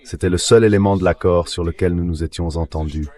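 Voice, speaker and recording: A man giving measured, explanatory political commentary as a guest in a dubbed interview. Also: sits low, steady tone, mellow.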